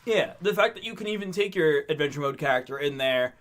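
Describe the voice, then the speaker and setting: A man's voice: on the high side, lively intonation, fast, a bit bright. A man co-hosting a casual gaming podcast, talking animatedly, laughing often and restarting his words. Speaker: podcast co-host. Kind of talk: casual chat.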